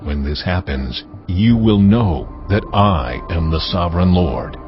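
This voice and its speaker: Audio Bible drama: stern almighty God, low and unhurried, solemn judgment over music. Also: male voice, expressive. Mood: grave, solemn. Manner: solemn, commanding, deliberate. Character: God, stern sovereign lord pronouncing judgment. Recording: muffled, music underneath. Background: background music.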